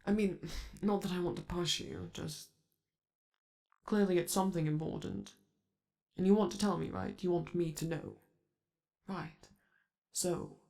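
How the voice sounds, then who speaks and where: A man's voice: sits high, animated, unhurried, creaky, pauses often, darkish tone, full character voice. A man voicing a flamboyant, doting male robot star in a romantic ASMR roleplay, theatrical and coaxing, calling the listener darling. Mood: coaxing, flirty.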